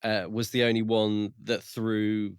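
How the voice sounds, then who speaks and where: A man co-hosting a podcast, thinking aloud in a measured, tentative way during a casual book discussion. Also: fairly low pitch, little pitch movement, clear, resonant tone, no room sound, clean studio sound. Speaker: podcast co-host reviewing books.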